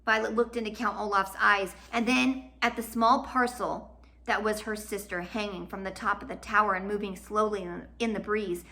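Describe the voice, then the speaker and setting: A woman narrating a children's novel aloud in an expressive, dramatic and emphatic storybook style. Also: mid-range voice.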